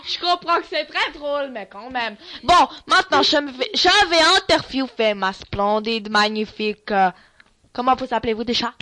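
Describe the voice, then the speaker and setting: A woman's voice: on the high side, normal speed, pushed and bright, a little hiss or hum. A woman playing a silly radio host with fake-formal announcer manners in an improvised sketch.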